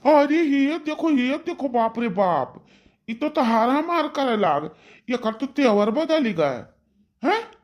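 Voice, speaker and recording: A woman's voice: sits high, very animated, slow pace, a little mellow, heavily acted. A woman voicing a scolding, hot-tempered villager in a cartoon family quarrel, with an Awadhi accent and combative, repetitive delivery. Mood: indignant. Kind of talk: cartoon family drama acting.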